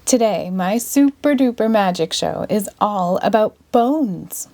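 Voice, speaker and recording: Woman putting on a showy, drawn-out male stage magician. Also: mid-range voice, animated, dark tone. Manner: theatrical, grandiose, drawn-out.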